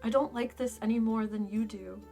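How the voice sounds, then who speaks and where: A woman's voice: on the high side, steady tone, moderate pace, vocal fry, mellow, soft background music. A woman voicing a brash, prickly female dragon with a brusque, casual way of talking in a fan fiction audio drama. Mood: grudging.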